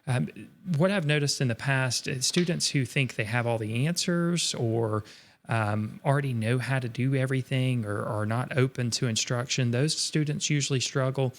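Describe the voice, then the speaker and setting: A man's voice: medium pitch, moderate pace. Earnest encouraging male art instructor. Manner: earnest, encouraging, speaks in long reflective runs. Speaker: art instructor co-hosting a live stream. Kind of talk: live-stream chat, reading viewer comments.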